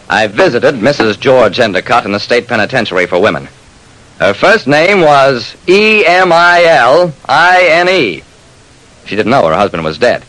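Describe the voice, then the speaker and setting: An actor playing a brisk private detective who narrates his case tersely and wryly in an old radio crime drama. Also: on the high side, fairly bright tone, rough recording. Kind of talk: 1940s-50s radio crime drama, first-person narration. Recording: old radio broadcast recording.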